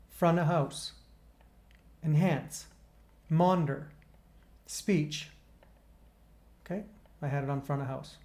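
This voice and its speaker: A man with a deep, bassy voice hosting a casual gear review, chatty and upbeat as he walks through features step by step. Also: darkish tone. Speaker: gear reviewer and channel host.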